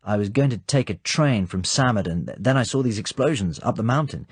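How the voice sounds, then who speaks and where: Man playing a worn-out British agent, tired, understated, low husky voice, dry sound. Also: expressive, a little fast, no echo. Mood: dazed.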